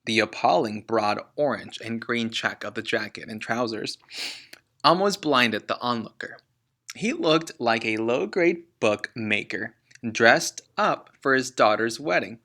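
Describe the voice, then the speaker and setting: A man reading a children's book aloud like a teacher, expressively and with deliberate emphasis on key words. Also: medium pitch, expressive, pushed and bright.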